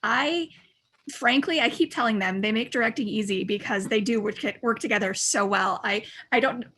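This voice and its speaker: Bright-voiced woman, effusive. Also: on the high side, moderate pace, slightly rough. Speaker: audio drama director, interview guest. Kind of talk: casual chat. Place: remote video call.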